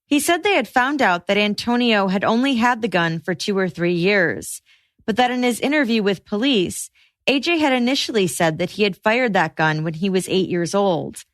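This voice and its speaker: A woman's voice: mid-range voice, animated, moderate pace, dry recording. Woman narrating true crime, dry close sound. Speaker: podcast host and narrator.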